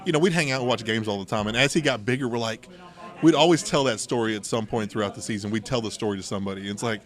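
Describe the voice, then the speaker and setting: A man's voice: fairly low pitch, very fast. Man casually telling stories. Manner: informal, acts out quoted dialogue. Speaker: podcast host telling personal stories. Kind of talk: casual anecdotal storytelling chat.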